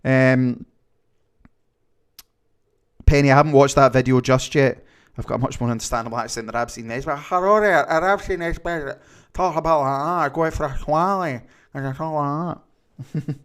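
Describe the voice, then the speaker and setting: A man's voice: fairly high pitch, moderate pace, long pauses, fairly bright tone, smooth. Chatty Glaswegian man hosting a stream. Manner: chatty, rambling with many fillers. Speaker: livestream host. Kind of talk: casual chat reading viewer comments.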